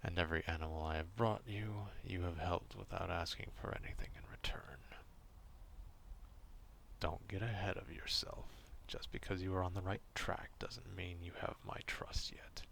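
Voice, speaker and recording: A man acting out a gruff, proud, distrustful werewolf in an ASMR roleplay, stern and curt but grudgingly caring, in a gravelly half-whisper. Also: sits low, steady tone, moderate pace, fairly bright tone. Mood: guarded, softening.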